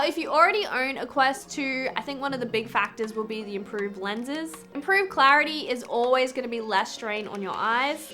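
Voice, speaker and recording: A woman's voice: high-pitched, pushed and bright. A young Australian woman with a stuffy nose from a cold, giving an upbeat, chatty tech review to camera. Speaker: VR tech YouTuber.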